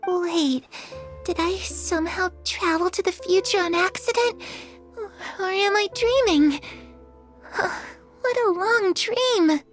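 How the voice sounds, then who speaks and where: A woman voicing a gentle, trusting young girl in a video game, earnest and softly wistful. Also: extremely high pitch, soft background music. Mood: puzzled, childlike. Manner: soft, unhurried, earnest. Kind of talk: dramatic video game acting.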